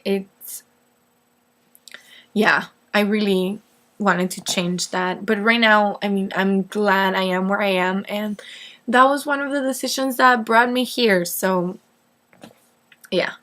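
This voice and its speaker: A woman's voice: medium pitch, fairly even intonation, slow pace, long pauses, fairly bright tone. A woman in her twenties from northeast Mexico, a native Spanish speaker, chatting casually and ramblingly to camera in a self-deprecating way. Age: 20s. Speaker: vlogger answering viewer questions.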